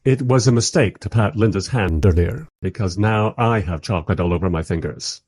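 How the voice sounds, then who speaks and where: A man's voice: on the low side, fairly quick, darkish tone, slightly rough, dry recording. A man playing a wry, weary filmmaker who narrates a radio play in a dry, sardonic way. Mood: dry exasperation.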